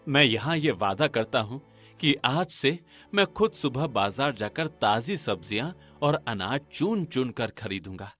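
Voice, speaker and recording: A man's voice: medium pitch, a bit bright. A man voicing an honest, humble village food-stall owner making earnest speeches in a cartoon story. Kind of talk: Hindi cartoon story acting.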